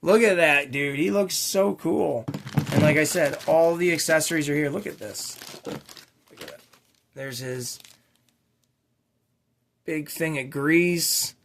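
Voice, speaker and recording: A man with a slightly raspy voice hosting a casual, unscripted unboxing vlog for toy collectors, rambling along with slangy, enthusiastic asides. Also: a little high, a bit bright, slight background noise. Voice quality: a little raspy. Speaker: toy collecting channel host.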